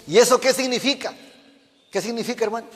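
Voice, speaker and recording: A man's voice: very high-pitched, quick pace. A man from Mexico, a pastor preaching a fervent sermon and putting questions to the congregation. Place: church. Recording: reverberant church hall.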